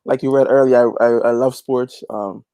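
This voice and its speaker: A man's voice: medium pitch, fairly even intonation, moderate pace, dark tone, smooth, no echo. A man with a Guyanese accent chatting casually as an interview guest, easygoing and folksy. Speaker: interview guest, gospel reggae artist. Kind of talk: casual chat.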